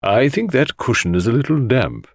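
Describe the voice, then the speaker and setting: A man's voice: sing-song, normal speed, creaky, no room sound. A man voicing an attentive husband in an audiobook, with unctuous, painstakingly polite speech. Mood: fawning. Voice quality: deep, unctuous.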